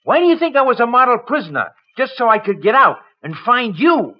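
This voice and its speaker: An actor playing a cocky, bullying ex-convict blackmailer in an old-time radio crime drama, domineering and needling. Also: male voice, very high-pitched, very animated, a little fast, heavily acted. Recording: dull vintage radio recording.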